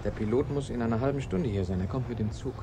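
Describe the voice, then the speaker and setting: An actor playing an earnest, excitable young doctor's assistant in a 1980s German radio play. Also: male voice, medium pitch, quick pace, close to an everyday voice. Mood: guarded, matter-of-fact. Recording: hissy vintage radio play recording.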